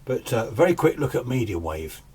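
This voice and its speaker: A man's voice: mid-range voice, very animated, moderate pace, a little mellow, husky. A middle-aged man giving a casual, chatty, self-deprecating demonstration as a radio hobbyist, recorded on a camera's built-in microphone. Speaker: amateur radio hobbyist and host. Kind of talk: casual hands-on demonstration commentary. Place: home radio shack, indoors. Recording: camera microphone with hiss and background noise. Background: faint radio hiss and static.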